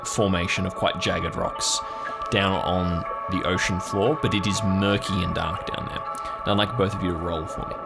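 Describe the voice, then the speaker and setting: A man with a low voice acts as game master, describing scenes directly to his players. He improvises his narration, and music plays clearly behind him. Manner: descriptive second-person scene setting.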